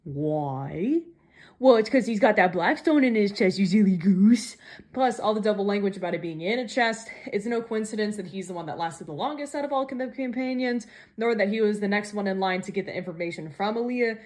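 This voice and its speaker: A woman with a medium-pitched voice speaks as if leaving a prepared message for a fan show. Her delivery is playfully theatrical, with affectionate mock-dramatic phrasing. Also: animated, fairly quick, darkish tone. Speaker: podcast listener sending a voice message.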